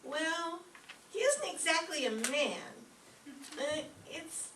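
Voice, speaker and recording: Woman as a naive girlish sweetheart, very high, bright. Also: big pitch swings, takes it slowly, full character voice. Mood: admiring. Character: naive, timid, dim-witted sweet young woman. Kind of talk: old-time radio comedy acting on stage. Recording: echoey stage, distant mic, faint noise.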